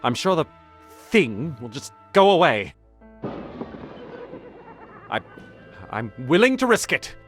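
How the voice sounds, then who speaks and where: An actor playing a fussy, flustered, officious hotel manager in a video game. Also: male voice, fairly high pitch, big pitch swings, slow, long pauses, fairly bright tone, soft background music, full character voice. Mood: flustered refusal. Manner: officious, agitated. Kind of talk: video game cutscene acting.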